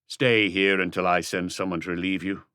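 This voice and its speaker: Man playing a deep-voiced senior detective giving orders. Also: normal speed, clear, resonant tone, dry recording, clean studio sound. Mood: calm command. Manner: calm, instructing, practical. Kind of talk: audiobook character dialogue.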